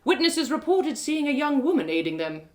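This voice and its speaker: A woman voicing a commanding, suspicious town guard leader in a fantasy story, loud and declamatory. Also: high-pitched, sing-song, normal speed, voice pushed up. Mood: accusing.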